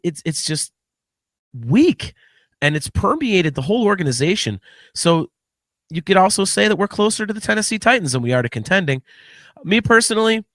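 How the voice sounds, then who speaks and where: A man hosting a sports podcast solo, giving blunt, opinionated commentary in a casual, halting way with some mild swearing. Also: on the high side, fairly bright tone, no room sound. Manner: blunt, opinionated, mildly profane.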